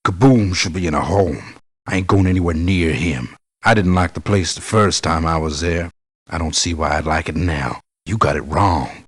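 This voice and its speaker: Gruff, deep-voiced male mercenary. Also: normal speed, dry recording. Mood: blunt, grumbling. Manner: blunt, curt, tough-talking. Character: gruff, blunt male mercenary, cynical about pay. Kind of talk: video game character voice lines.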